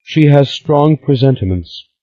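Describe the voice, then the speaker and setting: A man's voice: on the high side, lively intonation, a little slow, pitched above the performer's own voice. A man voicing a sulky, melodramatic young woman niece in an audiobook. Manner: dramatic, sighing. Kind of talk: audiobook character voice. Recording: slightly dull lo-fi recording.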